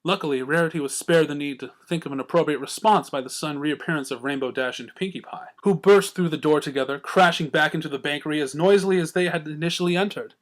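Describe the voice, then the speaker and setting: A man's voice: a little high, fast, few pauses. A man reading scripted fan fiction as a storyteller. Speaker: story reader.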